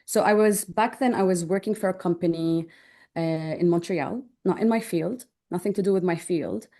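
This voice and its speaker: A woman's voice: on the low side, moderate pace, dark tone. A woman in her thirties from Jordan, answering interview questions in an upbeat, informal, chatty way. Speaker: interview guest, online business owner.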